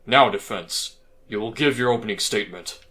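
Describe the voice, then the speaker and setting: A man's voice: on the low side, moderate pace, heavy background noise, close to an everyday voice. A man voicing an aged, somewhat flustered male judge in a fan audio drama. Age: older. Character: aged, fussy male judge, easily swayed.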